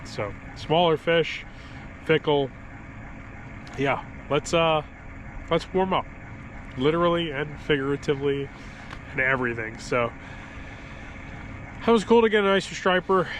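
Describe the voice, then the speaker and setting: Man, stuffy congested voice, rambling relaxed vlog talk, outdoors by cold water, clear background noise. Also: fairly high pitch, unhurried, pauses often, poor audio. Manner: rambling, relaxed, chuckles between thoughts. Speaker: fishing vlogger. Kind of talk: casual outdoor vlog commentary.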